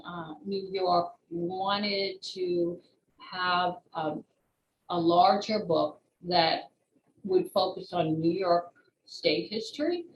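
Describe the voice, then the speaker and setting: A woman talking as an interview guest, an author explaining her work in careful detail. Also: on the low side. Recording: roomy echo, faint background noise.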